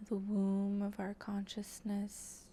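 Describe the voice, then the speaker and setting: A woman leading an improvised guided meditation in a soft, breathy voice that often slips toward a whisper, speaking gently and haltingly with long pauses. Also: mid-range voice, completely flat delivery, a little hiss or hum. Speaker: guided meditation host and channeler.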